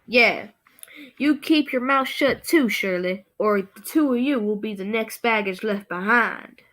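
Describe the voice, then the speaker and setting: A menacing gang boss who likes giving orders, acted by a young woman. The voice sits fairly high, in a student stage radio play style. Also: a little slow, a bit bright. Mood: bullying. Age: teenager. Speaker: student actor.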